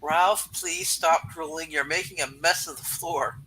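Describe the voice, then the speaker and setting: A man's voice: a little high, unhurried, bright, forceful tone, slight background noise. A man playing a long-suffering wife of a joker in an amateur comic book reading. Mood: exasperated. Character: wife, admiring then exasperated with her joking husband.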